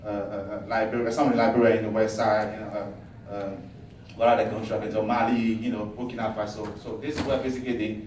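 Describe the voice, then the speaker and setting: A man from Ivory Coast fielding questions after a talk, speaking in halting stretches full of fillers and restarts. He sounds far from the microphone, in a very echoey room with faint background noise. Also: fairly low pitch, flat delivery, darkish tone. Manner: halting, many fillers and restarts, laughs easily. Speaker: student presenter answering audience questions. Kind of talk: Q&A after a presentation.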